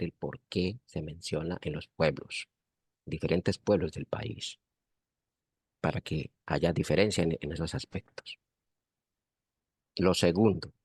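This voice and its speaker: Hombre de voz muy grave y ronca. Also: male voice, low voice, darkish tone, breathy edge, no echo. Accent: Colombian. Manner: measured and earnest, stressing points with repetition. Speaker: participant in a university class. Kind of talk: spoken comment in an online class discussion.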